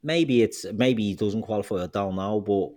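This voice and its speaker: Male co-host with a slightly low voice who rambles and second-guesses himself. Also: normal speed, darkish tone. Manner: rambling, hesitant, changes his mind mid-sentence. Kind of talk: casual football chat.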